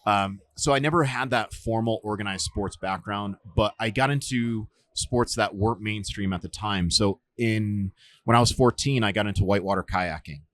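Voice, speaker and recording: A man in his forties, a survival instructor, telling stories in a relaxed interview, with lots of fillers and restarts. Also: on the low side, normal speed. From United States. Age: 40s. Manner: rambling, many fillers and restarts. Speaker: podcast guest, survival instructor. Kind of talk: casual storytelling interview.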